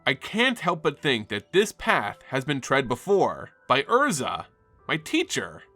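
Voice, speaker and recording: A man's voice: a little high, bright, forceful tone, full character voice. A man voicing a grave, regretful time mage and weary leader in a story reading, in a solemn, reflective manner.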